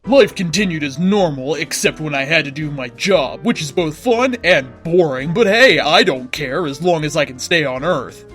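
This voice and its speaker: A man with a slightly high voice reads a story aloud in a dramatic narrator's style. His delivery is deliberate and theatrical, and faint music plays behind him. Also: lively intonation, a bit bright. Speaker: host reading fan fiction aloud. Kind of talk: dramatic scripted story reading.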